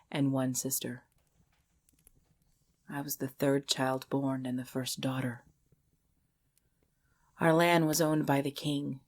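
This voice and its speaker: Breathy, hushed ancient vampire woman, played by a woman. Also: sits low, heavily acted. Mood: grave, reminiscing. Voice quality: breathy, often dropping into whisper. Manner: slow, formal, archaic phrasing, hushed. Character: ancient female vampire, possessive, tender, sorrowful lover. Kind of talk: ASMR roleplay, intimate scripted monologue.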